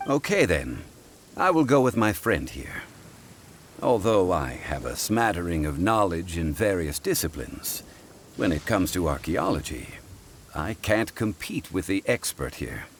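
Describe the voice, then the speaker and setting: An actor playing a calm, learned gentleman in a video game, speaking in a measured, formal, erudite way. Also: low-pitched, slight background noise, full character voice. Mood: calm, scholarly. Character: calm learned gentleman scholar, adult man, composed and formal.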